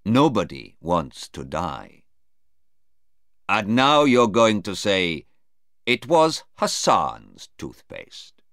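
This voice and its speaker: A man playing a sarcastic, relentless prosecuting lawyer in an audiobook, deliberate and insistent. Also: lowish voice, wildly swooping pitch, a bit bright, dry recording, clean studio sound, voice pushed up, full character voice. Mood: cold, insistent. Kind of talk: audiobook character voice.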